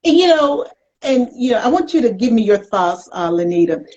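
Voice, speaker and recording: A woman's voice: on the high side, very animated, normal speed, mellow, muffled recording. An American woman hosting a casual interview in a chatty, playful, folksy way. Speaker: talk show host.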